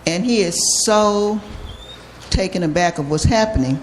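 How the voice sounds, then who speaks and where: An older audience member sharing a personal comment at a microphone in a thoughtful, measured way, recorded live in a noisy room. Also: mid-range voice, lively intonation. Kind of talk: personal comment at a question session. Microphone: handed audience microphone.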